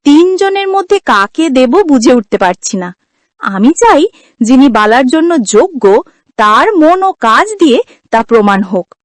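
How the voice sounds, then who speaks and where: An older mother-in-law who rules a cartoon household, acted out by a woman. She is fair-minded, firm and instructive. The voice is high, with a dry sound. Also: no echo. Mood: perplexed.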